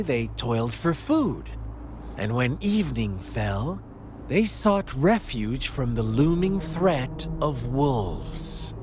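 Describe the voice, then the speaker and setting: An actor playing a sly, menacing villain in a video game, with a theatrical, teasing storyteller's delivery. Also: male voice, mid-range voice. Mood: hushed storytelling. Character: theatrical, sly, menacing male villain who spins fables. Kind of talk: dramatic video game voice acting. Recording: music behind the voice.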